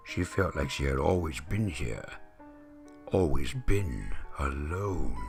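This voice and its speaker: A man with a deep, gravelly voice narrating a story in a theatrical, deliberate manner, imitating a grand veteran voice actor. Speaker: narrator of fan fiction readings. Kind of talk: dramatic scripted story narration. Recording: music behind the voice. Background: background music.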